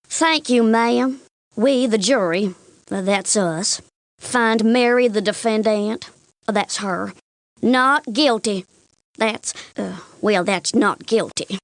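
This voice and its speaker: Earnest, high-voiced cartoon jury foreperson. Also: female voice, no room sound. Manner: halting, literal, long-winded.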